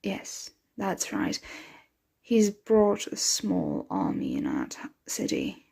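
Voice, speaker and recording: A woman voicing a possessive, protective, motherly vampire in an intimate ASMR roleplay, breathy and often dropping into a whisper. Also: on the low side, wildly swooping pitch, dark tone, full character voice. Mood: calm, reassuring. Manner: slow, intimate, soothing address to a dear one.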